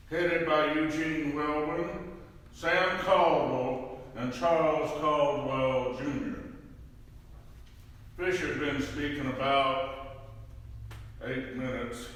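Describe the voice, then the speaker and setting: Solemn male witness played by a man, unhurried, slightly raised pitch, distant in a reverberant hall. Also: a bit bright. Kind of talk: dramatic reading of testimony. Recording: echoey hall, distant mic.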